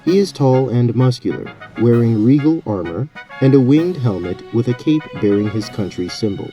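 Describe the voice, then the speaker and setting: A man narrating a scripted fantasy tabletop story as game master in a measured, descriptive way. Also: medium pitch, animated, dark tone, soft background music.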